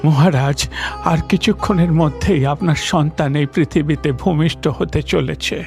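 Creaky, high-voiced royal priest. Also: male voice, moderate pace, a little mellow, soft background music. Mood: grave foreboding. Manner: solemn, ominous, formal. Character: grave royal priest and astrologer of a magical kingdom. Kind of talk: dramatic cartoon fairy-tale acting.

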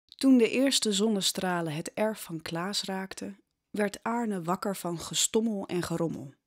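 A woman narrating a scripted radio drama in a measured, suspenseful storytelling manner. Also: mid-range voice, no echo, studio quality. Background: faint music.

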